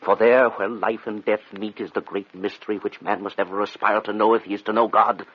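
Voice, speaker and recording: An actor playing an intense male hypnotist in a gothic radio drama, solemn, deliberate and commanding. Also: fairly low pitch, flat delivery, husky. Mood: grave, defiant. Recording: dull radio recording with faint noise.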